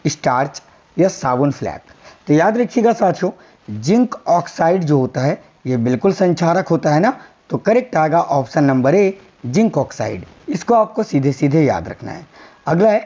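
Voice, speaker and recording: A hoarse man teaching a quiz-style science lesson for exam prep, repeating each answer and telling viewers to remember it. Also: on the high side, warm tone, muffled recording. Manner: repeats answers, coaxing "याद रखिएगा".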